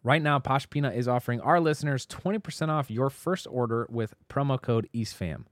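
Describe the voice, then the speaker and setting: A man co-hosting a podcast, reading an ad in a steady, friendly way. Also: medium pitch, a little fast, no echo. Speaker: podcast co-host. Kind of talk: host-read ad.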